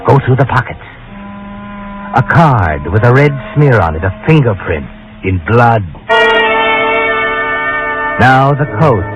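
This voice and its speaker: An actor playing a sardonic, unseen suspense narrator who taunts and goads in the second person, in 1940s radio style. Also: male voice, medium pitch, expressive, takes it slowly, pauses often. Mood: urgent, ominous. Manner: taunting, insinuating, addressing the listener as "you". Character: sardonic unseen narrator goading a guilty young wife. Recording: muffled 1940s radio recording with music behind.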